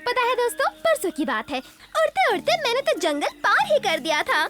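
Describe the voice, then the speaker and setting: A woman's voice: very high-pitched, very animated, moderate pace. A woman voicing a tiny female tailorbird in a dubbed cartoon. She is sweet, eager and a little insecure, and she speaks in a sing-song way. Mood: teasing. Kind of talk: cartoon dubbing. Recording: music bed under the dialogue.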